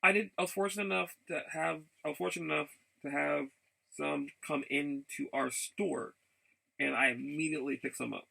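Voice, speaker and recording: A man chatting casually in a rambling vlog, haltingly, often repeating and restarting his phrases. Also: on the high side, steady tone, vocal fry, pushed and bright, breathy edge, poor audio. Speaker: vlogger.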